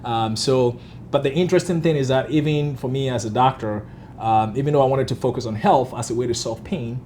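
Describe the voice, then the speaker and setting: A man's voice: mid-range voice, a little mellow, slight background noise. Earnest man from Ghana, physician guest. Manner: earnest, repeats "yes, yes" in quick agreement. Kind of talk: casual interview talk.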